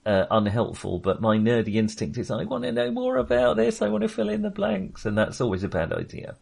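A man's voice: medium pitch, wildly swooping pitch, a little fast, gravelly, a little mellow, slightly rough. A man in casual film discussion as a podcast co-host, hesitant and self-deprecating in manner.

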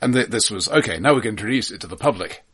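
A man co-hosting an analytical but casual discussion, haltingly repeating words as he thinks. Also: fairly low pitch, lively intonation, fairly bright tone, breathy edge. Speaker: film podcast co-host.

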